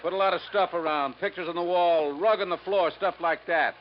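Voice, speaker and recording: An actor playing a crooked, self-pitying middle-aged American furniture boss with blustering, slangy talk, in a 1950s radio drama. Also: male voice, high-pitched, a little fast, lightly acted. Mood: brisk, scheming. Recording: dull, noisy 1950s radio recording.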